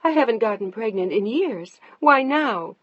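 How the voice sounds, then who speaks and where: A narrator voicing a tearful, defiant middle-aged wife who pleads and shouts, in a slightly muffled dry recording. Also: female voice, animated, normal speed, no room sound. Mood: distraught defiance. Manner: pleading and shouting, high-strung.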